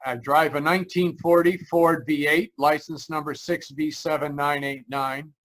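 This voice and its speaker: An actor playing an anxious, halting man in his thirties in an old-time radio drama. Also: fairly high pitch, steady tone, a bit bright, lightly acted. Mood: anxious, urgent. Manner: urgent, halting, nervous. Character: anxious man in his thirties recounting a terror, insisting he is sane.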